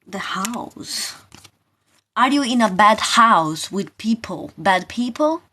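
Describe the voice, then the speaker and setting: A woman hosting a live tarot session, talking informally and haltingly to viewers, often asking questions and repeating her phrases. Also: medium pitch, animated, pushed and bright, husky.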